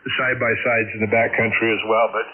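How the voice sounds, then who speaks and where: A man's voice: mid-range voice, flat delivery, fast. A middle-aged or older man chatting casually over a two-way radio, wry and teasing. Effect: two-way radio transmission. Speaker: amateur radio operator calling in. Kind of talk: casual ham radio chat. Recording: narrow phone-like radio signal, loud static babble.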